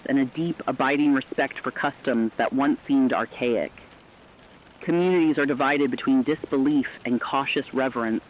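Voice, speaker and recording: A man playing a solemn radio reporter, delivering measured, portentous scripted narration in a radio drama. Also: mid-range voice, dull audio, natural voice. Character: solemn male radio reporter warning of ancient judgment.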